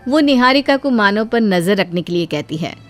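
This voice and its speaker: A woman's voice: mid-range voice, lively intonation, normal speed. A woman narrating a scripted story as a storyteller. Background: background music.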